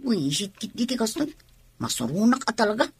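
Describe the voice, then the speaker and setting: A woman's voice: on the high side, big pitch swings, a little fast, husky, exaggerated voice. A woman playing an irritable, curt pregnant young wife in an Ilocano comedy radio drama, speaking with an Ilocano accent. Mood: sullen. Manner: grumbling, curt, complaining.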